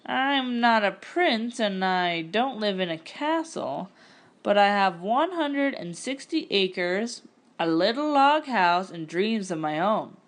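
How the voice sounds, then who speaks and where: A woman reading a storybook as a short, cheerful farmer, kindly and teasing, with a gentle, plain manner. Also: medium pitch, expressive, takes it slowly. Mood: sincere, hopeful.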